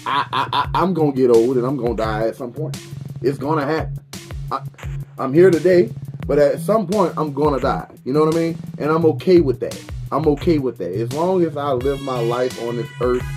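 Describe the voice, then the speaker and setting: Casting: man, 30s, mid-pitched, loose preachy vlog ramble with swearing, music bed underneath. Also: fairly quick. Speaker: vlogger reviewing beer and cigars. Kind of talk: casual rambling monologue.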